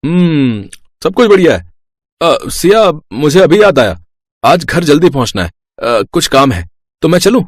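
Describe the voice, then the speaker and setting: A man's voice: fairly high pitch, sing-song, unhurried, dry recording, studio quality. A man playing a polite, evasive young college boyfriend in a moral story. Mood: polite, awkward. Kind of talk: animated story dialogue.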